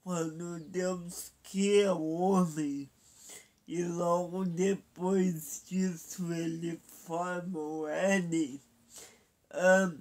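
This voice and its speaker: A man preaching a Bible sermon. He has a cold, so his voice is nasal and stuffy, and he speaks in a deliberate way, pausing between phrases. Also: sits high, level tone, a little mellow. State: has a cold, blocked nose.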